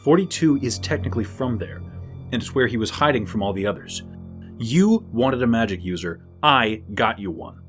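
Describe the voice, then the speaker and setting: Cocky, defiant bounty hunter. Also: male voice, mid-range voice, sing-song, moderate pace, a bit bright, faint music behind. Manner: smug, insolent, self-assured. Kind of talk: dramatic audio drama acting.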